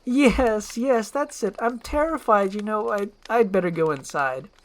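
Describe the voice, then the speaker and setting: A woman voicing an earnest, anxious young princess who hides an ogre form, in an animated film script. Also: mid-range voice, measured pace, pitched above the performer's own voice. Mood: flustered.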